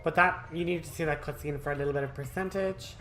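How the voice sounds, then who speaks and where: Woman, low voice, gaming video host, casual walkthrough commentary, informal, direct to viewers, soft game music. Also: fast.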